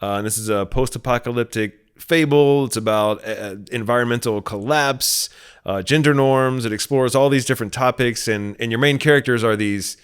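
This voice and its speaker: Male podcast interviewer, smooth clean voice, mid pitch, conversational delivery. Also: normal speed.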